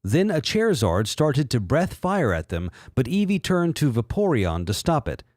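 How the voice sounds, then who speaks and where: A man's voice: mid-range voice, wildly swooping pitch, normal speed, a little mellow, no echo, studio quality. A man giving a sardonic, mock-dramatic narration with a theatrical delivery. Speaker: reader and commentator.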